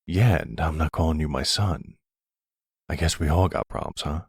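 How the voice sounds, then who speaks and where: A man playing an older, calm and commanding mafia boss with a low husky voice, speaking deliberately in an intimate roleplay audio drama. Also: no room sound, clean studio sound, exaggerated voice. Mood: soft, wry. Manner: measured, deliberate, authoritative. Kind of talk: ASMR boyfriend roleplay acting.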